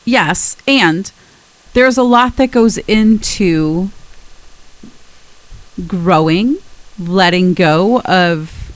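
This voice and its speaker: A woman's voice: fairly low pitch, drawn-out words, long pauses, no echo, rough recording. A woman co-hosting a conversational personal-growth podcast, speaking in a reassuring, emphatic way and drawing out key words. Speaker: podcast co-host.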